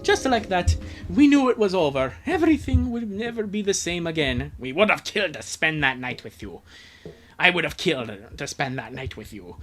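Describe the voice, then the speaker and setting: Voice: very animated, normal speed, faint music behind. A performer reading as a heartbroken young cartoon character narrating fan fiction, subdued and somber. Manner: low, subdued.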